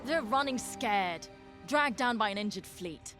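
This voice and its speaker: An actress playing a cool, scornful Imperial fighter pilot in scripted game dialogue. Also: female voice, medium pitch, animated, a little slow, sharp tone. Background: music.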